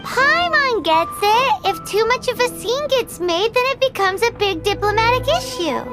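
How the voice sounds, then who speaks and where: A woman voicing a tiny, naive, eager fairy companion with a squeaky, childlike voice in an anime-style video game. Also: expressive, normal speed, over music. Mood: proud, eager. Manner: speaks of herself in third person.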